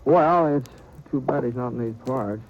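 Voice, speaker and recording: An actor playing a reluctant, easygoing western cowhand in an old radio drama, speaking in a halting, folksy, understated way. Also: male voice, medium pitch, dull audio, close to an everyday voice. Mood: mild, sly. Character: reluctant, easygoing western cowhand recounting his small-town troubles. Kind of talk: 1950s radio western acting and first-person narration. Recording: noisy vintage radio broadcast recording.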